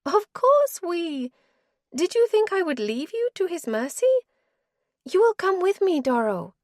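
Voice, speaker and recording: A woman voicing the mistress of a house, a wronged wife speaking in a sharp, reproachful way. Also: extremely high pitch, sing-song, unhurried, no echo, studio quality, voice pushed up, heavily acted. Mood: resolute. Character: high, anguished mistress of the house, resolute. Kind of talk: audiobook character voice.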